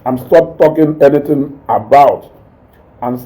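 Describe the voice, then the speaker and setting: A man with a Nigerian accent and a naturally loud voice, hosting a casual live stream, reading viewer comments and mixing English with Igbo while he eats. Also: mid-range voice, a little slow, low-quality recording. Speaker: online show host reading viewer comments.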